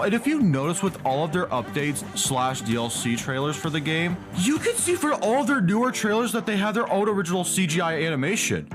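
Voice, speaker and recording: A man delivering scripted opinion commentary as a video host, with an exasperated manner and dramatic emphasis. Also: mid-range voice. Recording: music playing behind the voice. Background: background music.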